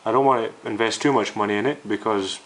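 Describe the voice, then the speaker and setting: A man's voice: on the low side, quick pace, a bit bright, poor audio. A man narrating an informal, unscripted hobby video as its host, in a downbeat, hesitant, explanatory way.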